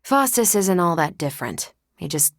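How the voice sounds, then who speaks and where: An actress playing a confident, wry superhero and ex-military pilot in a video game, speaking with dry, casual self-assurance. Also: female voice, lowish voice, big pitch swings, normal speed, no room sound. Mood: calm, serious. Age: adult. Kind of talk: video game voice acting, conversational dialogue.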